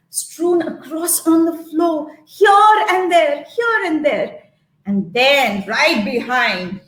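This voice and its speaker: High-voiced, unhurried woman storyteller. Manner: theatrical, repeats phrases for effect, checks in with listeners. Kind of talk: live interactive folktale storytelling with songs and actions. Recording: roomy, echoey live-stream sound.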